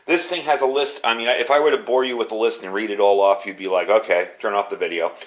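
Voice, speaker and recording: A man's voice: fairly low pitch, fairly even intonation, quick pace, a bit bright. A middle-aged man with an American accent giving a casual, enthusiastic product review in an informal, conversational way. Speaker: product reviewer, amateur radio hobbyist.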